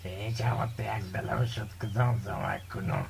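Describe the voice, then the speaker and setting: An actor playing a talkative, sly village gossip with a creaky voice in a radio drama. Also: male voice, fairly low pitch, big pitch swings, full character voice. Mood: sly, friendly. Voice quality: gravelly, creaky. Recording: noticeable background noise.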